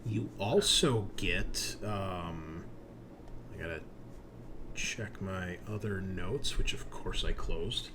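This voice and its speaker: A man running a tabletop roleplaying game, narrating casually in a halting, searching, informal way. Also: low-pitched. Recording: clearly noisy recording with room ambience.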